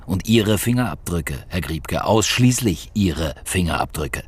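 A hard-pushing, embittered police detective in a radio drama. The voice is low and husky, the delivery blunt and pressing. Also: male voice, lively intonation, measured pace, full character voice. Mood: cold, menacing. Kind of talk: naturalistic radio drama acting.

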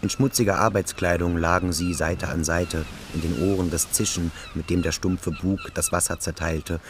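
A man's voice: deep voice, wildly swooping pitch, normal speed, background noise. A man reading scripted literary narration as the narrator of a radio drama. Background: music.

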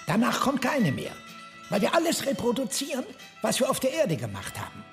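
A man's voice: very high-pitched, wildly swooping pitch, a little fast, faint music behind, exaggerated voice. An actor playing a cynical, eccentric man who philosophizes in a sardonic, declamatory way in a radio drama. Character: cynical, eccentric philosophizing brother, excitable and caustic.

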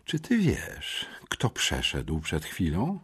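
A man's voice: mid-range voice, huge pitch swings, unhurried, darkish tone, slightly rough, full character voice. Man putting on a stern, sarcastic headmistress. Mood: indignant. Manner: hissing, scolding, mocking. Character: stern, sarcastic, domineering female school headmistress.

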